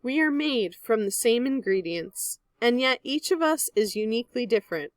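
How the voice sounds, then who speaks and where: A woman reading a picture book aloud like a teacher, in a clear, expressive manner meant for young children. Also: a little high, normal speed, dry recording. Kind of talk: children's read-aloud narration.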